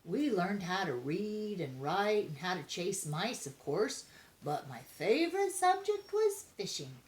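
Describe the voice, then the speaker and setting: A woman's voice: medium pitch, very animated, unhurried. A woman voicing a cheerful, nostalgic old grandmother cat in a storybook, with a singsong, joking manner. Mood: reminiscing, playful.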